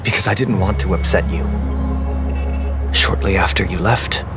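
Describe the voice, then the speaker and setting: An actor playing a grave, disillusioned brother in a video game, speaking in a measured, formal, rhetorical way. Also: male voice, low-pitched, gravelly. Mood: regretful. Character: grave, disillusioned adult brother arguing philosophy. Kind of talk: dramatic video game dialogue. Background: music.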